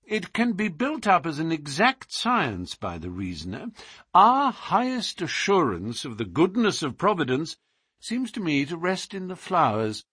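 Storybook gentleman sleuth, voiced by a man. Husky, precise, philosophical, self-assured, highly melodic delivery. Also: wildly swooping pitch, measured pace, dry recording, clean studio sound, heavily acted. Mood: musing, rapt. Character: brilliant, musing, self-assured gentleman detective.

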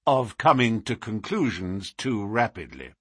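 A man voicing a brilliant, self-assured gentleman detective from a storybook, musing in a precise, declamatory and philosophical way. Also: lowish voice, very animated, unhurried, slightly rough, no echo, clean studio sound, heavily acted. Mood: wry, assured.

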